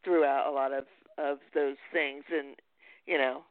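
A woman's voice: a bass voice, steady tone, measured pace, dry recording. A woman hosting a casual interview, speaking in a hesitant, meandering way. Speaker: podcast host, interviewer. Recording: tinny phone-like line, dry.

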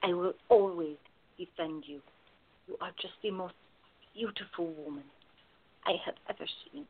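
Actress as tall protective woman, husky voice, dry wit, mock grumbling, radio drama, phone-like audio. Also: fairly low pitch, moderate pace. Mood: tender. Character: Ava, tall wry protective woman in love. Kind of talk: radio play acting. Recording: narrow phone-like sound.

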